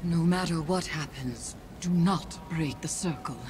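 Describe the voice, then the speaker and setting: A woman's voice: deep voice, measured pace, a little hiss or hum. Solemn, ominous witch. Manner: formal, grave, cryptic. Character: solemn, ominous witch guiding a soldier through haunted ship. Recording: loud game music and effects over voice.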